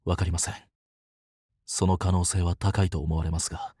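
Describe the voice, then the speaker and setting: A man's voice: sits low, level tone, normal speed, warm tone, breathy edge, no room sound, studio quality. An actor playing a dutiful, urgent male palace guardian in a fantasy audio drama. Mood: urgent, concerned.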